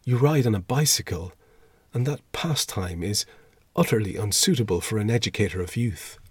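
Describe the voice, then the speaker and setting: A man's voice: medium pitch, expressive, moderate pace, breathy edge, no echo, voice pushed up. A man reading a storybook as a fussy, timid, easily shocked older schoolmaster with a formal, pleading, moralising manner. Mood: mournful, solemn.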